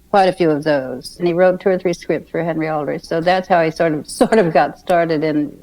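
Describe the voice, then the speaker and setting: An older woman telling casual reminiscences in an unhurried, chuckling manner. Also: lowish voice, a little mellow, low-quality recording. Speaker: radio writer's wife and co-plotter, interviewee.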